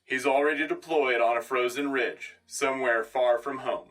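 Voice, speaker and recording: An actor playing a composed, patriotic radio news anchor in a scripted sci-fi broadcast, formal and measured with dry wit. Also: male voice, medium pitch, steady tone, fairly bright tone, distant mic, natural voice. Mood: solemn, proud. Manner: formal, dryly witty, measured. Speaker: radio host.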